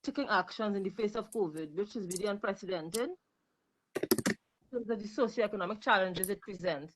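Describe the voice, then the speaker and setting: A woman's voice: medium pitch, noisy background. Emphatic woman on a call. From Trinidad and Tobago. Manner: emphatic, repeats words for stress. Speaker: panel audience member. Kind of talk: comment in a webinar discussion. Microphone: video call.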